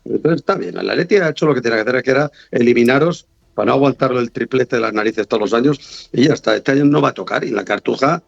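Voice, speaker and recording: A man's voice: mid-range voice, quick pace, gravelly, dry recording, dull audio. A man with a Castilian Spanish accent giving teasing, sarcastic, rambling sports banter as a guest pundit over a remote connection. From Spain.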